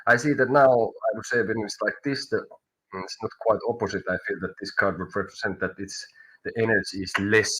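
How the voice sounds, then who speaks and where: A man with a Finnish accent doing a live tarot reading, halting and searching for words as he goes. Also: fairly low pitch, fairly even intonation, fairly bright tone, breathy edge, rough recording. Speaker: tarot reader.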